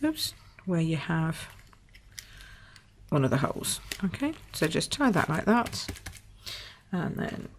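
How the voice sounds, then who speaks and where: A slightly croaky woman from the UK talks through a craft project at her table, chatting and thinking aloud with little fillers. Her voice sits a touch low, with a faint hiss behind it. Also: big pitch swings, slow, pauses often. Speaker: papercraft demonstrator. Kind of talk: casual craft tutorial.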